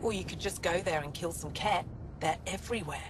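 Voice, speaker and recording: An actress playing a cheeky, eager alien lookout soldier in a video game, chatty in manner. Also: female voice, fairly low pitch, fairly quick, natural voice. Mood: forthright. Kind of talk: dramatic game dialogue. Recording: clear ambient noise behind.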